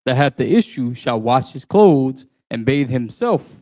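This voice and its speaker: A man reading old scripture in a steady, formal manner. His tone is mellow and warm. He sounds distant from the microphone. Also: mid-range voice, unhurried. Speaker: scripture reader.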